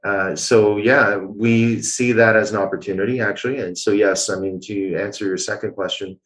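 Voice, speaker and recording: A man's voice: lowish voice, steady tone, moderate pace, fairly bright tone, poor audio. A man from Canada, a guest answering interview questions in an explanatory way, with many filler sounds. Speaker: guest, blockchain executive.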